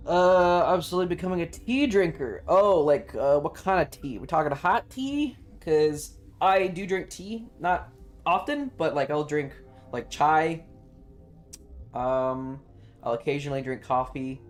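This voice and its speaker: A man's voice: a little high, a little slow. A man livestreaming a video game with casual, slangy, swearing chatter and jokey commentary. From Southern United States.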